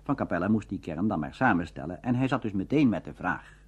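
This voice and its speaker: Very deep, animated Dutch male presenter. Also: fast talker, breathy edge. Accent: Netherlands Dutch. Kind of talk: scripted radio documentary narration. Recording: dull, band-limited radio recording.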